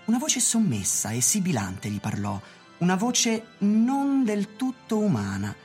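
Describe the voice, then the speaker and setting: A woman's voice: medium pitch, wildly swooping pitch, unhurried, mellow. A woman reading literary narration for a radio theatre production. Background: music.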